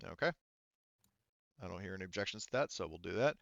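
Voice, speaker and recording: A man's voice: sits low, lively intonation, fast talker, creaky, fairly bright tone, dry recording, highs cut off. A man casually running a tabletop roleplaying game as game master, thinking aloud in an informal way.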